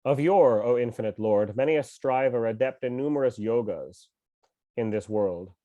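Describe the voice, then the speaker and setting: Guy reading scripture aloud, a bit under the weather w a cough, mellow voice, dry dull home mic. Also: medium pitch, normal speed, no echo. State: mildly ill with a cough. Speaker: scripture reader and teacher.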